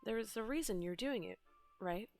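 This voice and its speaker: A woman's voice: on the high side, lively intonation, moderate pace. A woman streamer reading game dialogue aloud and translating it, in a dramatic narrating manner. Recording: streamed with game music behind.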